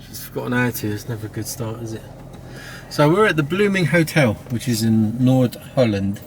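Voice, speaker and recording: A man's voice: medium pitch, expressive, normal speed. Joking British man riding in a car. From United Kingdom. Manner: joking, teasing. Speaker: vlogger. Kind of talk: casual travel vlog chat. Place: in a car, passenger seat. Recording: noisy car recording.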